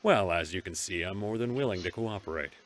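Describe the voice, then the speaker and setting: An actor playing a smooth, self-assured official in a visual novel game. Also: male voice, sits low, a little fast, slight background noise, close to an everyday voice. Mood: composed.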